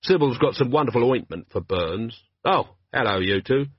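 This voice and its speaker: Man reading a weary, dry commander, husky and lively in pitch; dull audiobook sound. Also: moderate pace, no room sound. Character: weary, sardonic middle-aged male watch commander.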